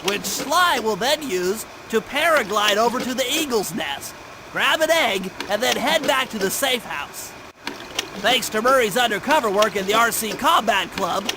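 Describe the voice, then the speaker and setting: A man's voice: very high-pitched, background noise. A man voicing a brainy, earnest cartoon animal gadget expert in a video game, explaining things in precise technical terms. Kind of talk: video game cutscene voice acting. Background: background music.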